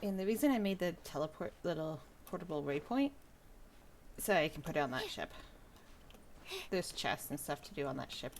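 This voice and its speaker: A woman's voice: sits low, lively intonation, moderate pace, pauses often, a little hiss or hum. A woman game streamer giving casual live commentary as she plays. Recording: game music behind voice.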